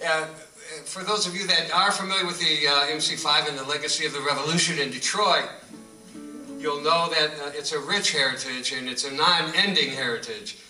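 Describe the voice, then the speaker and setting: A man who leads a music act, talking to listeners between acoustic songs with halting pauses, recorded live from a distance in a gallery. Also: a little high, sharp tone. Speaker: singer-songwriter and bandleader. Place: art gallery, live performance. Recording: echoey live gallery performance from far off.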